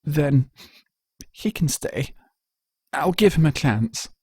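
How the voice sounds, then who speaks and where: A man's voice: fairly high pitch, expressive, slow pace, a little mellow, slightly rough, no echo, studio quality, voice pushed up, exaggerated voice. A man voicing an emotional, refined female unicorn in a storybook reading, with a dainty and tender delivery. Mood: resigned.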